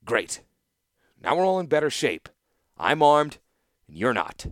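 A man's voice: a little high, unhurried, no room sound, clean studio sound, voice pushed up. Gerard, a man doing a stern veteran weapons master. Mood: commanding. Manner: calm, commanding, dryly threatening.

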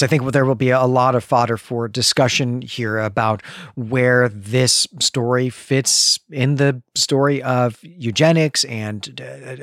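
A man co-hosting a podcast, explaining and recapping fiction conversationally in measured phrases on a close microphone. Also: mid-range voice, fairly bright tone, dry recording. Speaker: podcast co-host. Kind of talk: literary discussion and recap, conversational.

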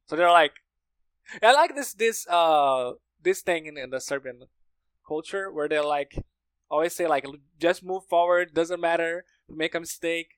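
Brazilian-accented man, native Portuguese speaker, slightly high pitch, dry recording, informal storyteller. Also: normal speed, fairly bright tone, clean tone, no room sound. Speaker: podcast speaker, language learner. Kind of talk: casual conversation.

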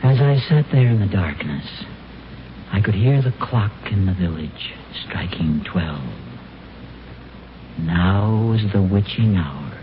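A man's voice: deep voice, expressive, takes it slowly, background noise. An actor playing a flustered, easily spooked suburban father in a stammering, exasperated 1940s radio sitcom style. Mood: absorbed. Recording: muffled old radio broadcast, music behind.

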